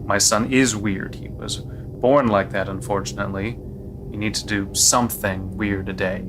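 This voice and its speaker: A man acting as a father in a horror audio drama, with a lowish voice. He explains his weird son's deeds in a resigned, matter-of-fact way, with music strong behind him. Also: fairly even intonation, moderate pace, natural voice.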